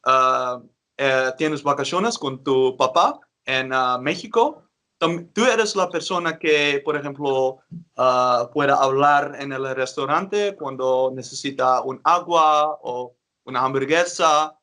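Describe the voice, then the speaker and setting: A man's voice: mid-range voice, takes it slowly, pushed and bright. A man interviewing a girl on video, speaking hesitantly with many filler sounds.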